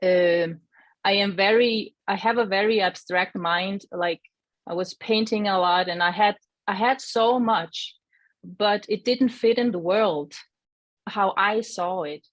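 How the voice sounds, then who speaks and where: A woman from Iceland, giving a gentle, reflective personal testimony as a guest and often searching for her words. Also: medium pitch, slow, dry recording, highs cut off.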